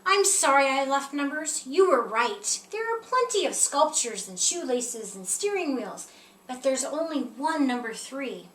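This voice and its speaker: A woman's voice: high-pitched, big pitch swings, measured pace, fairly bright tone, heavily acted. A woman voicing a proud, eager number three in a children's storybook, speaking in emphatic declarations. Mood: joyful, apologetic. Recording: roomy echo, distant mic, faint noise.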